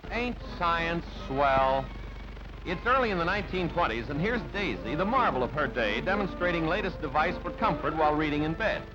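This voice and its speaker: A man's voice: on the high side, lively intonation, normal speed, lo-fi sound. A man narrating an old newsreel from a script in a jaunty, theatrical announcer's manner. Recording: band-limited old newsreel, music underneath. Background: background music.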